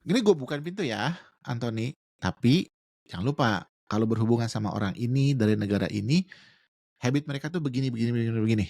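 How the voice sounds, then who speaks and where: Gravelly-voiced man. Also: medium pitch, lively intonation, quick pace, a little mellow, dry recording, clean studio sound. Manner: explains with examples, slangy Jakarta register, mixes in English words. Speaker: guest. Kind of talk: casual podcast chat.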